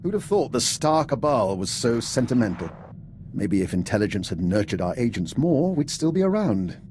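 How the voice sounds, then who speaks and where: A man's voice: medium pitch, big pitch swings, moderate pace, a little mellow, a little hiss or hum, natural voice. A man playing an urbane intelligence operative in a video game, with a polished, dryly witty manner. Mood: wry.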